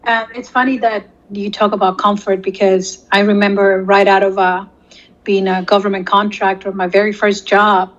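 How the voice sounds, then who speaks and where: A woman's voice: mid-range voice, level tone, moderate pace, dull audio. A woman from Latin America, a native Spanish speaker, talking conversationally and telling anecdotes as an interview guest. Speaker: interview guest, corporate security professional.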